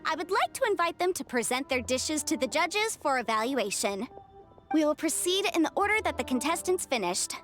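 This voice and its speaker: A woman's voice: high voice, very animated, brisk, a bit bright. A woman voicing a spirited young female contest host in a video game, announcing over music. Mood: official, announcing. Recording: music behind the voice.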